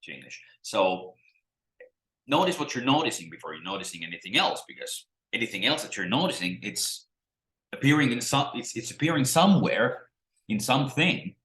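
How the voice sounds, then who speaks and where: A middle-aged man, teaching in a halting, musing way with many restarts, talking to a webcam at his desk. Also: mid-range voice, big pitch swings, fairly bright tone. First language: Finnish. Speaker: spiritual teacher. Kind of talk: unscripted talk to camera. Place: small room at home, indoors. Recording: roomy echo.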